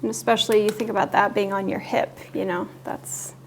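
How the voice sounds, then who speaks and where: Woman, medium pitch, news show co-host, inquisitive, informal, conversational, some background noise. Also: level tone, brisk.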